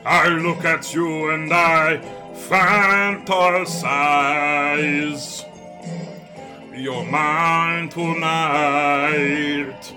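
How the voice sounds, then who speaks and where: Highish male singer over music. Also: steady tone, very slow. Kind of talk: slow pop ballad singing. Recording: music backing behind the voice.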